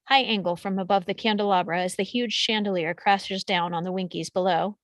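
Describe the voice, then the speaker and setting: A woman's voice: medium pitch, fairly even intonation, fairly quick, no room sound. A woman reading out screenplay action descriptions as scripted narration. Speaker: stage directions reader.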